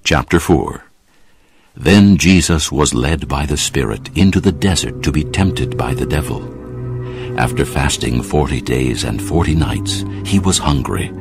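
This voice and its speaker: A man with an extremely deep, creaky voice narrates scripture. His solemn storytelling moves expressively up and down as a scripted dramatic reading. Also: lively intonation, a little slow, soft background music.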